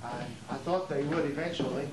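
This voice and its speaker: A man's voice: on the high side, brisk, natural voice. A man playing a wistful, nervous aging would-be actor, stammering and weary, in an amateur stage play. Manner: hesitant, stammering, repeating words. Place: theater during a rehearsal. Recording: distant, echoey, noisy rehearsal tape.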